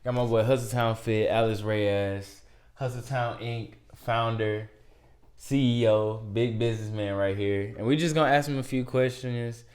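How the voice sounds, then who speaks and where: A man's voice: mid-range voice, steady tone, normal speed, a little mellow. A man hosting a podcast, asking casual questions in a laid-back way full of slang. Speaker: podcast host.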